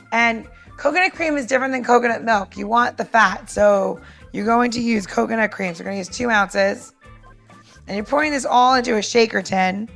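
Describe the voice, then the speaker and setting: A woman's voice: a little high, creaky, a bit bright. A woman co-hosting a podcast, explaining a recipe step by step in an informal, instructive way. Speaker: podcast co-host and cocktail maker.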